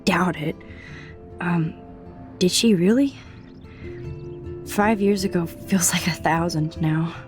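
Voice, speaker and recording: A young woman with an American accent playing an introspective, self-doubting teenage girl in a video game, soft-spoken, understated and casual. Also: fairly low pitch, slow pace, long pauses. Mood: hesitant, wistful. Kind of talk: video game acting, quiet inner monologue and dialogue. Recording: music under the voice. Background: background music.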